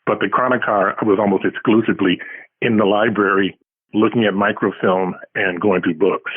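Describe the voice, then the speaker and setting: A man's voice: fairly low pitch, fairly even intonation, a little fast, husky. An older man answering interview questions casually as a guest author, over a tinny phone-like line.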